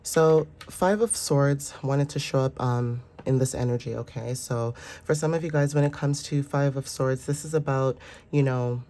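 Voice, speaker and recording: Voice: mid-range voice, steady tone, moderate pace, mellow, slight background noise. A tarot reader with a sinus infection, congested and stuffy, giving a casual, rambling, reassuring reading full of fillers. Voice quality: stuffy, nasal.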